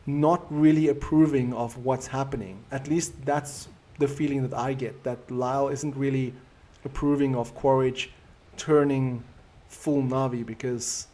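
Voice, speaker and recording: A man with a South African accent hosts a casual live stream, talking through viewer comments at an easy pace. His voice sits at an ordinary middle pitch, and his native Afrikaans shows through. He sounds thoughtful and conversational. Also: steady tone, measured pace, few pauses, mellow. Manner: reflective, easygoing and conversational.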